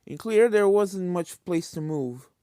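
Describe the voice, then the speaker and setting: A woman's voice: on the low side, lively intonation, a little slow, warm tone, dry recording, studio quality. A woman reading a scripted fan fiction story as its narrator. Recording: background music under the voice. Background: game soundtrack music.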